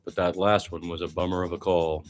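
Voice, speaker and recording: A low-pitched man calls basketball in an informal radio play-by-play style, dropping chatty asides between calls. Music plays behind his voice. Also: flat delivery, fairly quick.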